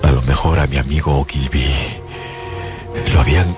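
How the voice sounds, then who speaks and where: An actor playing a haunted survivor who narrates his ordeal in a radio drama, halting and suspenseful. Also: extremely low pitch, fairly even intonation. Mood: grave, tense. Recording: dull sound under loud music.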